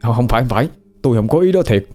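A nosy young male ghost with a Southern Vietnamese accent, as a man plays him who chatters, grumbles and fumbles his words. His voice is dark and mellow at a mid pitch, with soft guitar under it in an audio story. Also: lively intonation, racing, lightly acted. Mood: flustered. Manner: talkative, fussy, flustered.

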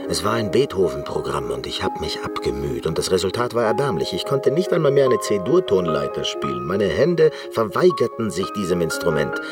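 Obsessive reclusive pianist: very low voice, deliberate, intense radio drama monologue, music behind. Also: male voice, very animated. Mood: reflective, intense. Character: brooding eccentric male concert pianist, obsessive and reclusive.

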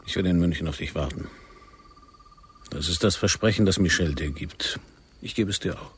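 An actor in a German radio play playing a commanding agent who poses as a fervent militant lover, speaking gravely and insistently. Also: male voice, low-pitched, moderate pace, a little hiss or hum, lightly acted.

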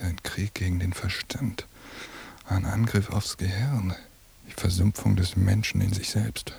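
An actor playing a paranoid, obsessive surveillance man in a radio drama monologue, brooding in a gravelly, hushed half-whisper. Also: low voice, exaggerated voice. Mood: bitter, brooding.

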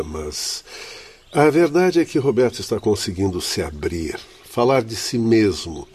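Kindly older dad doctor in a radio drama, really deep husky voice, formal n measured. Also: male voice, a little mellow. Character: kindly noble elder doctor, proud father. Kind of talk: radio soap opera acting.

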